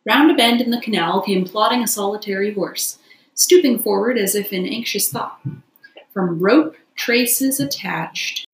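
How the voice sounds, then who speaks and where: Female narrator, medium pitch, expressive storybook reading, roomy echo. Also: unhurried. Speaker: audiobook reader.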